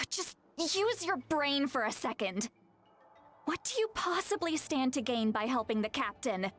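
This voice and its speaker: A sharp-tongued video game granny with a high, swooping voice. She scolds sternly and dramatically, full of exasperation, with music playing behind her. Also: very animated, measured pace, heavily acted. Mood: scolding, exasperated.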